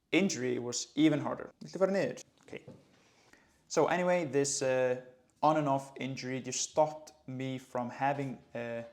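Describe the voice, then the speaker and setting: Icelandic man in his late twenties. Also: medium pitch. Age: late 20s. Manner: relaxed, conversational, light laughs. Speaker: running coach. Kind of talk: casual vlog talk to camera.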